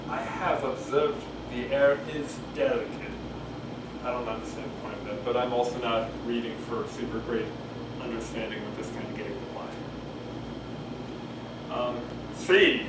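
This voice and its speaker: A man doing a casual cold reading of a play, halting, repeating phrases and musing aloud between lines, recorded on a camcorder. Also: fairly high pitch. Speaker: amateur reader. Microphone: camcorder at a distance. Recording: roomy, distant camcorder mic, loud noise.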